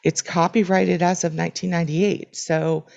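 A woman's voice: fairly low pitch, little pitch movement, normal speed, gravelly, darkish tone. A woman co-hosting a podcast in casual chat, with dry, self-deprecating humor and frequent restarts. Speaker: podcast co-host.

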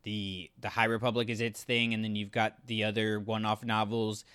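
A man's voice: fairly low pitch, flat delivery, moderate pace, fairly bright tone, clean tone, no echo. A man co-hosting a podcast, giving enthusiastic, conversational opinions in a casual review discussion. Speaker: podcast co-host.

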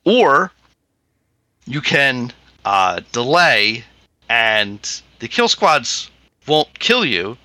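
Guy running a tabletop game, super bright voice, dry close mic, lots of ums. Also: medium pitch, big pitch swings, very slow, long pauses, no echo. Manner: laid-back, hesitant, with many ums. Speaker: game master.

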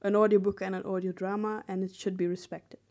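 A woman's voice: mid-range voice, level tone, fast, darkish tone. A woman speaking as a podcast co-host, explaining points casually in a back-and-forth discussion.

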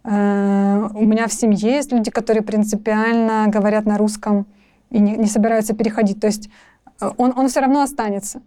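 A woman's voice: mid-range voice, steady tone, fairly quick, dark tone. A young woman from Ukraine, a guest in a conversational interview, speaking thoughtfully with frequent restarts and hesitations.

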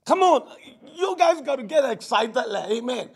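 A middle-aged man with a Singaporean English accent, preaching and praying fervently, his voice hoarse from preaching. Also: very high voice, animated, normal speed. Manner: fervent, repetitive, peppered with "Amen". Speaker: pastor. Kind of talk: sermon with prayer. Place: church service.

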